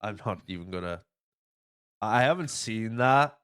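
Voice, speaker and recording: A man hosting a casual film podcast, retelling plots in an animated, sweary way. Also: lowish voice, unhurried, clean tone. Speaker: podcast host.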